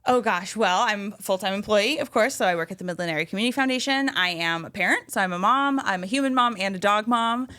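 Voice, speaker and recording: A woman's voice: mid-range voice, fast talker, hardly any pauses, bright, forceful tone. A woman in her thirties, a guest on a talk show, chatting casually and laughing easily about her work in the arts. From Western Massachusetts, raised in Michigan. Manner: chatty, laughs often, self-deprecating. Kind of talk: casual interview chat.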